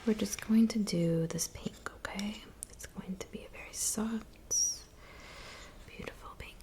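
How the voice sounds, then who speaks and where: A woman playing a kindly, doting witch in an ASMR roleplay, speaking in a soft whisper with gentle, reassuring attention. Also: medium pitch, a little slow, natural voice. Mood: soothing, attentive. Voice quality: soft whisper with some creaky murmur. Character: kindly cabin witch mixing potions, doting and soothing. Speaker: ASMR performer.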